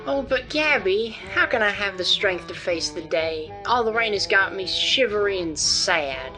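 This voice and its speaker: A woman's voice: medium pitch, lively intonation, normal speed, lightly acted. A woman voicing a small, timid, fretful friend in a children's cartoon, plaintive and theatrically woeful. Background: prominent background music.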